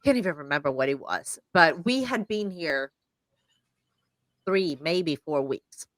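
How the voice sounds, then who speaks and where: Woman from Sweden, podcast host, animated storytelling, very expressive pitch, dry sound. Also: a little slow, no room sound. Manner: animated storyteller, acting out quoted lines. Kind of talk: casual podcast chat.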